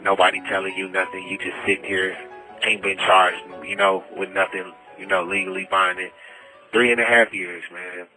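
American male, pleading and emphatic, bright insistent voice on a phone line with music behind. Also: low voice, little pitch movement, moderate pace. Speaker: prisoner telling his story. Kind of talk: impassioned personal plea over the phone. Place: prison phone call.

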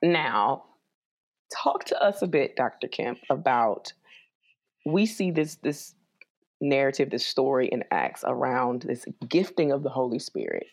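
A host leading a casual interview, lively and chatty, asking the guest to repeat points. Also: medium pitch, gravelly. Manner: lively, asks for repeats, quick asides.

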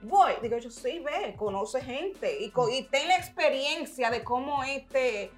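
Lively woman storyteller. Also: high-pitched, a little slow, soft background music. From Dominican-American. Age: adult, mother. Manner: animated storyteller, drops into English phrases. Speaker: guest writer and community organizer. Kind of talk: casual interview chat.

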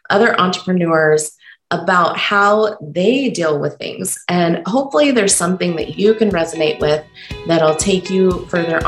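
A woman hosting a solo podcast, talking unscripted and encouraging while stumbling over her words, her voice croaky from being sick. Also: fairly low pitch, echoey room. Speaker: podcast host.

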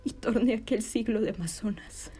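A woman performing a classical Spanish verse monologue as a wronged, defiant young village woman, declaiming slowly and with anguish in a breathy, husky voice. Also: a little high, warm tone, in a whisper. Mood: furious, defiant. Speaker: drama student actor.

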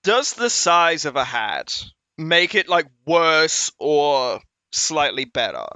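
A man's voice: on the high side, big pitch swings, slow pace, bright, forceful tone, no echo. A man co-hosting a podcast in casual chat, playfully dramatic about editing. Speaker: podcast co-host.

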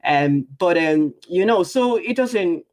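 Animated Nigerian woman in conversation. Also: lowish voice, slow pace. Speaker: podcast guest. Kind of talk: conversational interview.

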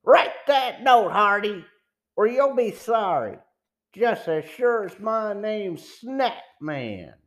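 A man's voice: high-pitched, expressive, measured pace, voice pushed up. A man voicing a sour, threatening smuggler chief in an audiobook, pressing and sneering. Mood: angry, demanding. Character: sour, menacing male smuggler gang chief. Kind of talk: audiobook villain dialogue.

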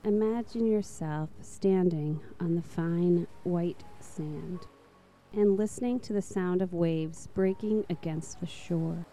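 A woman narrating a guided meditation in a soft, slow, soothing manner. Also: lowish voice, soft background music.

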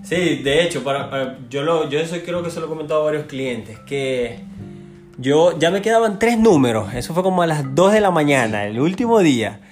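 A man's voice: on the high side, animated, fairly quick, soft background music. A man with a Venezuelan accent speaking informally and with slang as a tattoo artist who hosts a casual interview.

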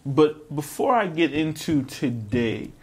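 Emphatic, drawn-out podcast host man. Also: on the high side, expressive, slightly rough. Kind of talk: casual interview.

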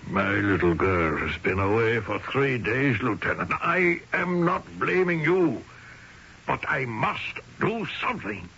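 An actor playing a desperate, stubborn older father in an old-time radio drama, with halting, emotional, formal phrasing. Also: medium pitch, lively intonation, pushed and bright, husky, heavily acted. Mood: anguished. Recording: dull old radio recording.

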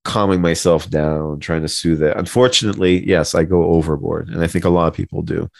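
Male podcast co-host, low deep register, close dry sound, loose buddy-to-buddy delivery. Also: fairly even intonation, brisk, creaky, darkish tone, no echo. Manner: informal, uses "bro" and "man". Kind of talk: casual chat.

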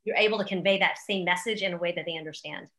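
A middle-aged woman chatting as an interview guest, an animated, expressive storyteller, recorded in an echoey room at home. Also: fairly low pitch, racing. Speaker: podcast guest, real estate agent and former news anchor. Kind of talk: casual interview chat. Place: room in a new house.

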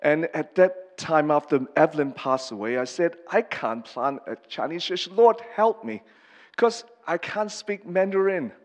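A middle-aged pastor, a man from Hong Kong, giving a personal testimony sermon in an earnest, halting way with many pauses and restarts. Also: on the high side, animated. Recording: long reverb of a large hall.

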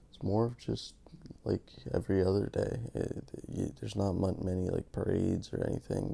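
A man hosting his podcast in a casual, rambling monologue, gravelly from just waking up, joking at his own expense. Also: low-pitched, mellow. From Canada. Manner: rambling, self-mocking, many restarts. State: just woke up. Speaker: podcast host.

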